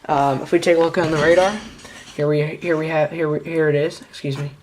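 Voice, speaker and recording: Very low-voiced woman, casual commentary. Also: steady tone, fairly quick, a little mellow, a little hiss or hum, low-quality recording. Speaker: amateur video host and weather alert enthusiast.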